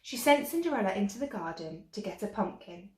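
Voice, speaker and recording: A woman's voice: medium pitch, very animated, a little fast. A woman storyteller reading a children's tale expressively, like a teacher to young listeners. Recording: echoey room.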